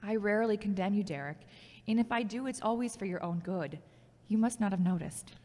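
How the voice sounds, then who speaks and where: A woman's voice: mid-range voice, a little fast, dark tone, lightly acted. An actress playing a frank, logical, critical yet caring former girlfriend in a scripted audio drama. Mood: encouraging. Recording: long hall-like reverb.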